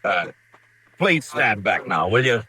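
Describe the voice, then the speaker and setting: An American man in a vintage radio western, playing a steady, conscientious frontier marshal with a plainspoken, halting, grave manner. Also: fairly low pitch, slightly rough, exaggerated voice. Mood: businesslike. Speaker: radio actor. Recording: vintage radio recording, dry studio sound.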